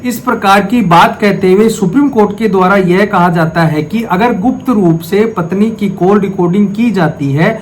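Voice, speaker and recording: A man's voice: high-pitched, a little hiss or hum. A man giving a formal, methodical explanatory legal lecture, restating key points as he goes. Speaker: legal explainer, video host.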